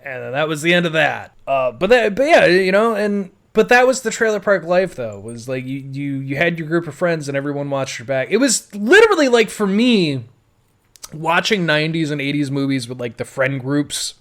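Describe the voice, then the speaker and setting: Rambling American man, slightly high voice. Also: sing-song, normal speed, fairly bright tone. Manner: rambling, foul-mouthed. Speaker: podcast host. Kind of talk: casual autobiographical storytelling.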